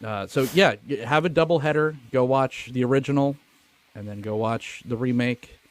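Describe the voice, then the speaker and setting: Guy podcast host chatting about movies, self-deprecating jokes, swears a bit, medium pitch. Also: moderate pace. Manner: joking, profane, self-deprecating. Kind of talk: casual movie review chat.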